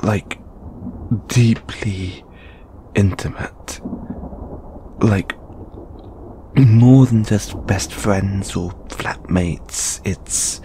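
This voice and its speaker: A man playing a shy, anxious, lovelorn young lamia flatmate in an intimate audio roleplay, speaking hesitantly with stammers and sighs. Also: lowish voice, extremely slow, long pauses, noisy background. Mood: wistful, embarrassed.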